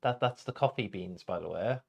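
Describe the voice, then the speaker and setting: A man presenting a casual show as co-host, halting, repeating words and often clearing his throat. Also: fairly low pitch.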